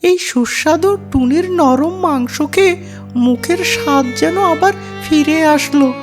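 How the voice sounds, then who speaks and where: A wicked cartoon fox, speaking in an extremely high voice with slow, stretched-out words. The fox is gloating and greedy, and music plays clearly behind the speech. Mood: smug, satisfied. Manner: gloating, drawn-out words, cackling laughs. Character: wicked, gloating fox who devours baby birds. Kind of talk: children's cartoon character voice.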